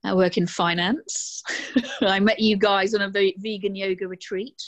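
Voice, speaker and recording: A woman's voice: mid-range voice, steady tone, measured pace, fairly bright tone. A woman in her forties from London speaks as a podcast panel guest. She is thoughtful and hesitant, filling gaps with "um" and "uh" as she weighs her words. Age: 40s. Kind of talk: casual conversation.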